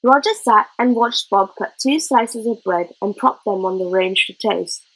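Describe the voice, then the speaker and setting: A woman reading a children's story aloud in an expressive narrating style. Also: mid-range voice, unhurried, rough recording. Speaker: storybook reader.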